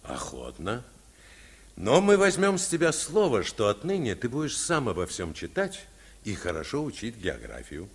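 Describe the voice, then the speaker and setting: A man playing a kindly, commanding sea captain in an old children's radio play, with a husky voice. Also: on the low side, huge pitch swings, moderate pace, exaggerated voice. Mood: encouraging. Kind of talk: mid-century Soviet radio drama acting. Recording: old archival radio recording.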